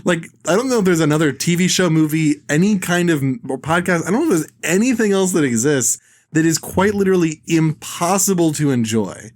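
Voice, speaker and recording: A man's voice: a little high, lively intonation, a little fast. A man co-hosting a podcast in casual comedic chat, rambling through anecdotes with a mocking edge. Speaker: podcast co-host.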